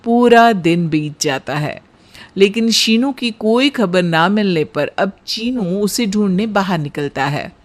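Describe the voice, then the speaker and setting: Woman narrator, cartoon storytelling, slow pace, lively pitch movement, scripted.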